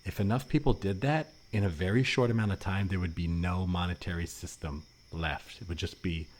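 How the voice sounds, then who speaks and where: A man improvising an earnest, suspicious former anarchist in a comedy podcast, explaining things in a halting, rambling way. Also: sits low. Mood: earnest, conspiratorial.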